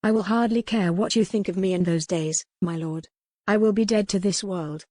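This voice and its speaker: A woman's voice: medium pitch, moderate pace, warm tone, no echo, close to an everyday voice. A woman voicing a proud, defiant captive noblewoman in a historical romance audiobook, speaking in a formal, pointed way. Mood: angry, bitter.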